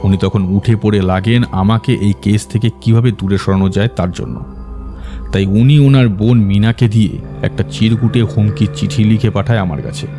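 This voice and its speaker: A man playing a composed, confident detective who explains a case in a measured way in an audio drama. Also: on the low side, music in the background, natural voice. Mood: composed, explanatory. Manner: measured, expository. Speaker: detective character.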